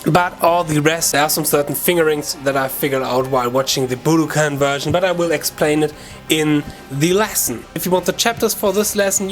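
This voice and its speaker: A man with a German accent hosting a guitar lesson, talking to viewers in an informal, chatty way with halting self-corrections. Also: a little high. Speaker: guitar teacher and video channel host. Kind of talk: casual video lesson talk to viewers.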